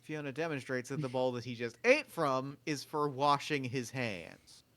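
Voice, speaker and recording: A man's voice: medium pitch, expressive, moderate pace, a bit bright. A man narrating scripted stage directions at a comedic table read.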